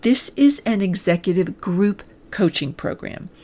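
Woman, medium pitch, executive coach, scripted promotional pitch, direct address, earnest, deliberate, emphatic short phrases. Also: animated, mellow. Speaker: executive coach and podcast host.

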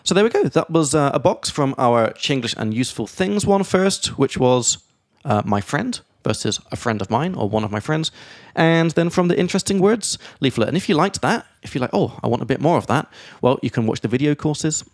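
A man's voice: a little high, a little fast, hardly any pauses, dry recording. Man explaining grammar in a dry room. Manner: lively explainer who reads out example sentences. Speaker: podcast host and English teacher. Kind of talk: explaining grammar in casual chat.

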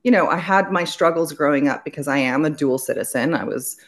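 Low-voiced reflective woman guest. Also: brisk. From Belgium. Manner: reflective, earnest, speaks in long flowing sentences. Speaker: guest, business owner. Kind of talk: conversational interview.

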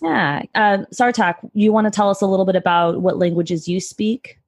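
A woman's voice: mid-range voice, steady tone, moderate pace, no echo, clean studio sound. A woman hosting a podcast interview, upbeat and encouraging with her guests. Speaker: podcast host.

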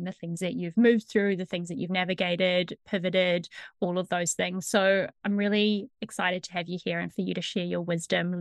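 A woman's voice: on the low side, no room sound. A woman hosting a podcast, reading a guest introduction and then chatting enthusiastically with her guest. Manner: enthusiastic, effusive praise. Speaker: podcast host. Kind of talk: warm podcast interview intro and chat.

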